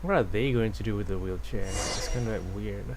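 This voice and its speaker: A man's voice: fairly low pitch, moderate pace, very noisy. A man giving casual, musing commentary as he streams a game. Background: game music.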